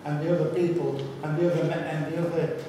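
A man's voice: on the high side, fairly even intonation, fast talker, background noise. A man councillor arguing in a council meeting, insistent and repetitive, recorded from far off in a large echoey hall. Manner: insistent, repeats himself when pressing a point. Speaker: town councillor. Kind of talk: heated council debate. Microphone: far room camera.

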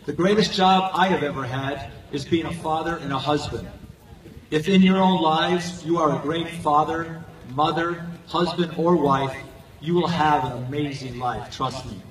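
Older man, somewhat high voice, earnest commencement speaker, short measured phrases, distant echoey stadium PA sound. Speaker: high school coach and teacher giving a graduation speech. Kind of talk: prepared commencement speech. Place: outdoor football stadium, recorded from the stands. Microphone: podium microphone over PA, captured by distant handheld camera. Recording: echoey stadium PA heard from far off.

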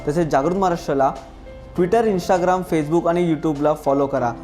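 Male announcer, fairly high voice, scripted promo, light music underneath. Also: measured pace, darkish tone.

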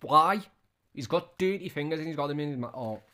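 A man's voice: on the high side, animated, a little fast, sharp tone. A man in his thirties giving casual, scornful reaction commentary, often repeating his questions. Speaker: reaction video host.

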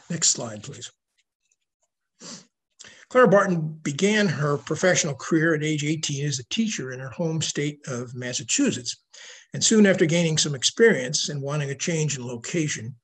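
Husky older man giving a history lecture. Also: medium pitch. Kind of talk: prepared slide lecture. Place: indoor room at home, video call. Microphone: video call microphone.

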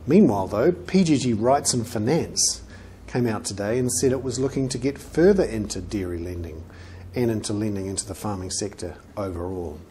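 A middle-aged man with a New Zealand accent presenting an economic commentary to camera in measured, emphatic phrases with deliberate pauses. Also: on the low side, lively intonation, dark tone, slight background noise. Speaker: financial journalist presenting an economic report. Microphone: lapel mic.

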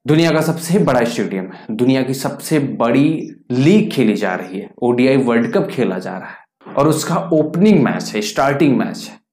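A man's voice: mid-range voice, lively intonation, moderate pace, a little mellow. A man in his twenties giving opinionated cricket commentary to camera, emphatic and fond of rhetorical questions. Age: 20s. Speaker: cricket news YouTuber. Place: small indoor room. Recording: echoey room.

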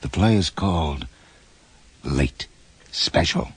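Sinister horror radio host, very deep gravelly voice, solemn, theatrical, slow. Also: male voice, animated, heavily acted. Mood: solemn, inviting. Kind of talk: scripted horror radio introduction.

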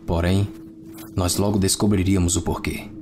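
A man voicing a grim special-forces officer who narrates a horror mystery gravely and deliberately, in a fan dub. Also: low-pitched, level tone, noisy background, lightly acted. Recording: loud music over the voice.

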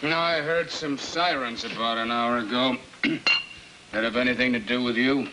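A man playing a weary, evasive middle-aged American father in a 1950s film drama, speaking haltingly. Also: medium pitch, close to an everyday voice. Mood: probing, halting. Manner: halting, hesitant, with trailing tag questions. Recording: noisy old film soundtrack with clicks.

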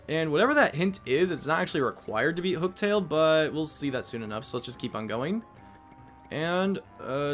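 Rambling, jokey let's play guy. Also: a little high. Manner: rambling, jokey, quick asides. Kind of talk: casual gameplay commentary. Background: game music.

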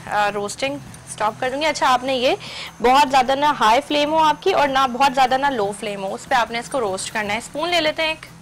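A woman's voice: sits high, a little fast, a little hiss or hum, rough recording. Woman hosting a TV cooking show. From Pakistan. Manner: instructive, addresses viewers directly. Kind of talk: TV cooking demonstration. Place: TV studio kitchen set.

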